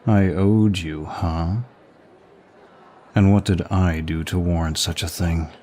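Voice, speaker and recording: A man playing a suave, teasing demon prince of lust in an ASMR roleplay, bored and sadistic, with a languid, husky delivery. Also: low voice, fairly even intonation, slow, warm tone, a little hiss or hum. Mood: teasing, amused.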